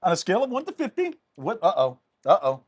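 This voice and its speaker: A man's voice: high-pitched, wildly swooping pitch, quick pace, husky, no echo. A man hosting a local talk show, chatting playfully and jokily with a guest outdoors on a summer day. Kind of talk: lively casual chat on a food segment.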